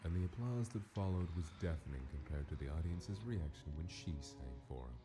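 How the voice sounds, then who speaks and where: A man's voice: low voice, animated, fairly quick. A man giving dramatic scripted narration for a story in a comic dub. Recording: loud music under the voice. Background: music.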